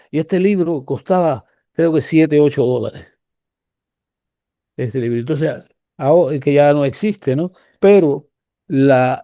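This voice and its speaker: An older Cuban man speaks in a mellow, slightly high voice, his Cuban Spanish accent clear. He rambles through the story with frequent restarts and pauses. The sound is dry and close. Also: no room sound. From Cuba. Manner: rambling, halting with many restarts. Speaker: guest researcher. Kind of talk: casual conversational storytelling.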